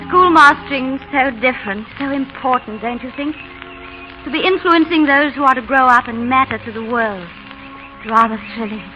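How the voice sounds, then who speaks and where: An actress playing a spirited young Englishwoman in love, tender and gently teasing, in a 1930s radio drama. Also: sits high, lightly acted. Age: young adult. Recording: muffled 1930s radio broadcast, faint music.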